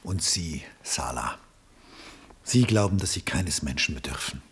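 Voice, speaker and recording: A male actor in a 1960s German radio play, playing a lonely, needy, melancholy aging artist with a rough, husky voice. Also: rumbling low, lively intonation, a little slow, fairly bright tone, full character voice. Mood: subdued, reproachful.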